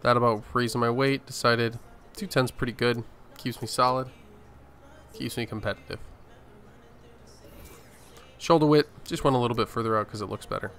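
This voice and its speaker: A man's voice: medium pitch, steady tone, moderate pace, long pauses, a bit bright. An American man from Arizona hosting a gaming video with casual gameplay commentary. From Arizona, United States. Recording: voice-over with faint game music.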